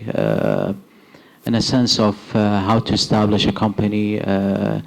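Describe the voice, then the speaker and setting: Halting Kuwaiti man with gravelly voice. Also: lowish voice, a little mellow, low-quality recording. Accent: Kuwaiti English. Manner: halting, many filler uhs. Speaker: startup founder on stage. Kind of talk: live panel answers. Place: event hall with audience.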